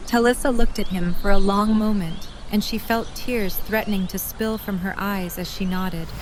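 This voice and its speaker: A woman's voice: mid-range voice, steady tone, normal speed. A woman narrating a scripted audio drama story. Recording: clearly noisy nature ambience behind voice.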